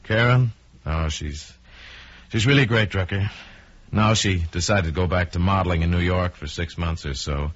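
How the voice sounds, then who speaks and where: A man's voice: deep voice, moderate pace. An actor playing an earnest, plain-spoken investor down on his luck in an old-time radio drama. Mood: resigned, polite. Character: earnest, trusting male investor, ruined and embittered. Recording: dull vintage radio recording with music.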